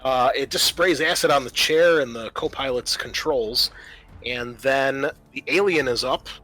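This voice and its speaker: A man acting as game master, narrating and refereeing a tabletop roleplaying game in an informal, halting way. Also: medium pitch, bright, forceful tone, low-quality recording.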